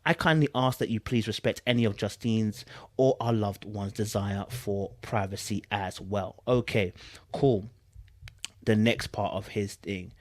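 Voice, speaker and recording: A man's voice: on the low side, unhurried, a little mellow, no echo. A man with a London accent hosting casual online commentary, reading aloud and reacting in informal, slangy speech with emphatic repetition. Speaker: YouTube commentary host.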